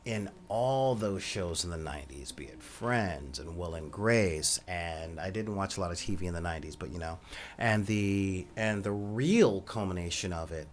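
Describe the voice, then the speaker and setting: A soft-spoken man hosting a podcast, talking casually in a rambling monologue full of ums and asides. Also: normal speed. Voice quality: says he has a high voice. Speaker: podcast host.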